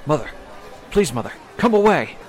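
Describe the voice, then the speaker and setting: An actor playing a dutiful, protective young adult son in a 1940s-style radio drama. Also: male voice, a little high, big pitch swings, moderate pace. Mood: concerned, pleading.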